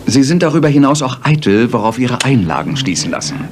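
An actor playing a coolly superior, eccentric detective in a radio play, speaking with precise, self-assured, analytical phrasing. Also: male voice, medium pitch, big pitch swings, brisk, slight background noise, lightly acted. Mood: cutting, analytical. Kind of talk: German radio play acting.

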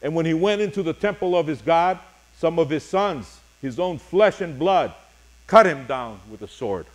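A middle-aged man preaching a sermon as pastor, reading scripture and speaking deliberately in short, paused phrases. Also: a little high, expressive. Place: church sanctuary, speaking from a pulpit on stage.

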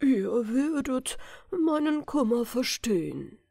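Troubled fairy-tale king, done by a woman, solemn, regal, unhurried, lively pitch. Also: creaky, slightly rough, dry recording, studio quality, exaggerated voice. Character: troubled fairy-tale king fretting over his son.

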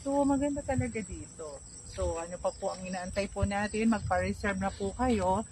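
A woman selling land in a casual, upbeat and persuasive pitch, walking outdoors on a rural dirt road. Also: normal speed, a little mellow, a little hiss or hum. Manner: chatty, upbeat, polite, persuasive. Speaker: real estate seller.

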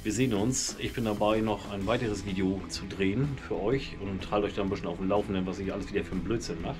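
A man's voice: on the low side, fairly even intonation, fast. Man, 40s, casual vlog chat, lots of ums, garage, camera nearby, background music. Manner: chatty, many ums and restarts. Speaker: motorcycle vlogger. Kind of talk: casual product review talk. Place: home garage beside a motorbike, door open.

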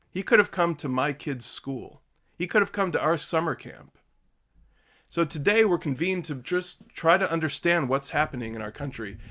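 A man in his thirties hosting a video call from a home study, giving measured, earnest opening remarks. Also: mid-range voice, fairly bright tone. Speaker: host.

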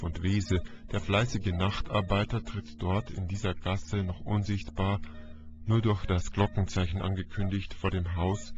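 Male audiobook narrator, very unhurried dramatic delivery, slightly dull lo-fi recording. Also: low voice. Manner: deliberate, declamatory. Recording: dull lo-fi audio, music behind. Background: music.

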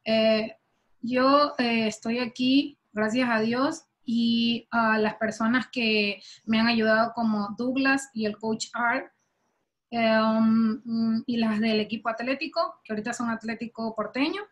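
A young woman from Ecuador, a softball player, speaking softly and hesitantly over a video call. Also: on the high side, fairly even intonation, measured pace. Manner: soft-spoken and hesitant, with many fillers.

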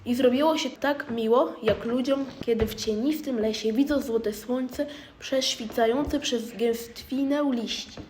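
A woman's voice: fairly high pitch, unhurried, a little mellow. A girl of about twelve reading scripted storybook narration. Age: child, around twelve. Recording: faintly noisy amateur recording.

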